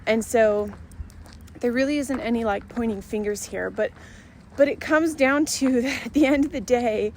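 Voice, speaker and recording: A middle-aged woman with a somewhat high voice talks casually to her vlog camera. She rambles in a chatty way, circling around her thoughts and often giggling as she goes. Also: moderate pace, darkish tone, a little hiss or hum. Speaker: vlogger.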